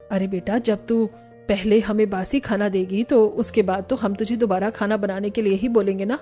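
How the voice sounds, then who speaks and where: A woman's voice: medium pitch, steady tone, moderate pace, mellow, soft background music, lightly acted. A woman voicing a kindly but firm middle-aged mother-in-law in a family cartoon. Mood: reproachful. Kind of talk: acted dialogue in a moral family cartoon.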